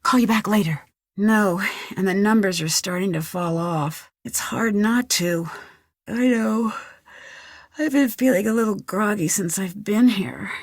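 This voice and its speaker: A woman's voice: medium pitch, measured pace, dry recording. A young woman sleuth in a mystery game. She is earnest and inquisitive, with a mid-range voice and natural, polite delivery. The sound is close and dry, with no room around it. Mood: concerned, matter-of-fact, then weary, subdued. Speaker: video game voice actor. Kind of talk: scripted game dialogue, clear and natural.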